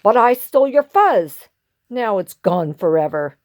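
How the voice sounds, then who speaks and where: A woman puts on a gruff male prairie dog bully from a storybook. He is blunt, snarling and boastful, with slow, hugely varied delivery in a dry, close sound. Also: medium pitch, sing-song, no echo. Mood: astonished. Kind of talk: animated children's storybook character voice.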